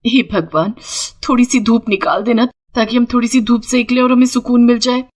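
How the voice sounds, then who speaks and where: A woman voicing poor, resourceful young village daughters-in-law in an animated moral story, expressive and full of exclamations. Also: on the high side, normal speed, close to an everyday voice. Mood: shivering, fed up.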